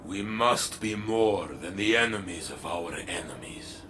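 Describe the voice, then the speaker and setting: Deep, brooding veteran warrior. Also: male voice, animated, noisy background. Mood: earnest, resolved. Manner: solemn, deliberate monologue in short phrases. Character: brooding male veteran Titan warrior, proud, remorseful, protective. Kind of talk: dramatic video game cutscene acting.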